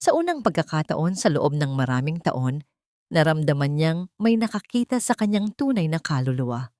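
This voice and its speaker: A woman's voice: low voice, big pitch swings, moderate pace, darkish tone, no echo, clean studio sound. A woman narrating a scripted dramatic love story in a solemn, sentimental manner.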